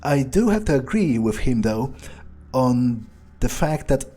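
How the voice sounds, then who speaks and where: A man improvising the thoughts of a cynical, power-loving vampire during a game playthrough, rambling and haltingly sardonic, with some swearing. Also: mid-range voice, big pitch swings, dark tone. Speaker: player of a video game, speaking in character.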